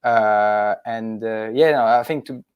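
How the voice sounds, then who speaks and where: A man's voice: fairly low pitch, slow pace, darkish tone, smooth, dry recording. A man from Switzerland, a business co-founder, sharing rambling anecdotes in a webinar discussion.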